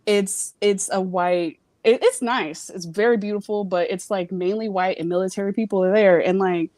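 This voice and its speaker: A woman's voice: mid-range voice, steady tone, moderate pace, a little mellow, no room sound. Frank rambling young woman. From Virginia, US, Jamaican family. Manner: rambling, frank, swears freely, many fillers. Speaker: call-in guest. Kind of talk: casual chat. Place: home.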